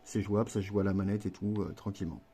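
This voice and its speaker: A man's voice: on the low side, flat delivery, rapid-fire, warm tone, husky. A man chatting casually through a gameplay tutorial, rambling with frequent fillers and self-corrections. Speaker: gaming video creator.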